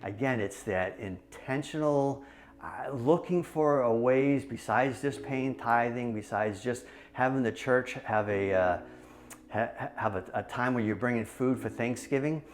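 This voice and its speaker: A man in his forties from New Hampshire, a church member and small business owner, telling his testimony in a video, halting with many restarts. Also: medium pitch. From New Hampshire, USA. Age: 40s.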